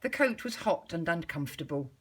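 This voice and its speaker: A woman reading a storybook aloud to young children like a schoolteacher, in an expressive, measured way with deliberate pauses. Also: on the low side, slightly rough.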